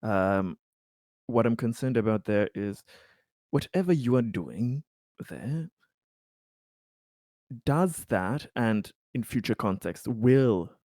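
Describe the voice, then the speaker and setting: A man playing a tabletop roleplaying game, speaking in improvised dialogue with hesitant, deliberate phrasing. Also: fairly low pitch, animated, a little mellow, no room sound, studio quality.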